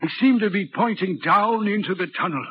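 A man's voice: high voice, lively intonation, fairly quick. Haunted signalman, muffled old radio. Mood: haunted, subdued. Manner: intense, dramatic storytelling. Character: haunted, troubled railway signalman recounting an apparition. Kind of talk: 1940s radio drama acting. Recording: muffled, noisy 1940s radio recording.

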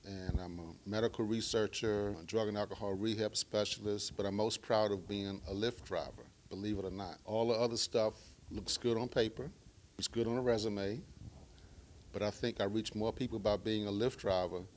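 A middle-aged man from Baltimore, Maryland, who stutters, speaking in a deliberate, measured way at a live talk. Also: on the low side, steady tone, a little hiss or hum. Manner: deliberate, measured speech using stuttering techniques. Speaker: speaker sharing his story.

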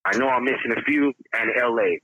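A man's voice: medium pitch, a little fast, pushed and bright, slightly rough, dry recording, highs cut off. A man from Los Angeles, co-hosting a comedy podcast in casual banter, proud and emphatic. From South Bay, Los Angeles. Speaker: podcast co-host.

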